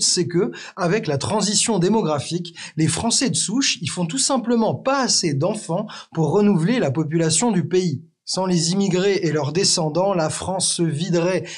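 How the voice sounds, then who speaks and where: A man hosting an educational video, narrating a script in a clear, teacherly way with the odd wry aside to the viewer. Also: sits high, a little mellow. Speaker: explainer video host.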